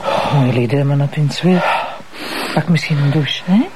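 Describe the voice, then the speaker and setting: A woman's voice: low voice, moderate pace, heavy background noise, natural voice. A woman with a Flemish accent playing a concerned, nagging wife in a naturalistic TV drama, colloquial and chiding.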